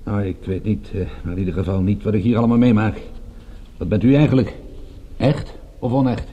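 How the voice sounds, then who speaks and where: An actor playing a wry, groggy captive private detective in a Dutch radio drama, with dry, sardonic understatement. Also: male voice, fairly low pitch, fairly even intonation, fairly quick, highs cut off, natural voice. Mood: defiant, sardonic. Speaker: narrator and lead character. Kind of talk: Dutch radio drama acting, first-person detective narration.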